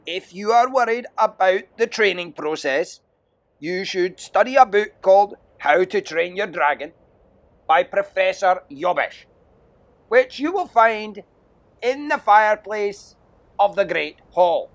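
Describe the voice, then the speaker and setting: A man voicing a loud, overbearing Viking drill instructor, bellowing and pompous with heavy sarcasm, in an audiobook. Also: sits high, expressive, a little slow, voice pushed up. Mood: stern instructing.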